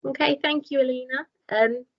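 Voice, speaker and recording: A woman's voice: high-pitched, fairly even intonation, moderate pace, dry recording. Friendly woman on a dull laptop call. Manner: encouraging, friendly questions. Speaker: moderator of a school discussion. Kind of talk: casual video-call discussion. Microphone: laptop microphone on a video call.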